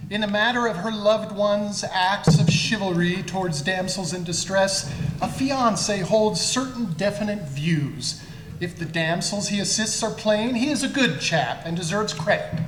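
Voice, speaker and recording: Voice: mid-range voice, lively intonation, a little slow, natural voice. A performer playing a young upper-class man, indignant and hapless, in a comic staged story reading. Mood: explanatory. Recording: roomy, clearly noisy live recording.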